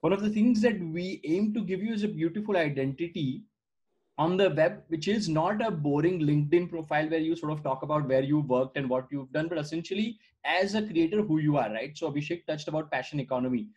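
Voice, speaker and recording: Man demoing software over a call. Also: on the high side, fairly quick, husky, lo-fi sound. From India. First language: Gujarati. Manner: conversational, many "uh" fillers and "right?" tags. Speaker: startup founder giving a product demo. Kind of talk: screen-share product walkthrough. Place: indoor room on a video call. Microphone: video call webcam mic.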